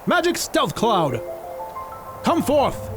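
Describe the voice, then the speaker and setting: A man's voice: very high-pitched, wildly swooping pitch, slow pace, exaggerated voice. A man playing a confident, gallant conjurer in an audio drama, theatrical and commanding. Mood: excited, commanding. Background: music.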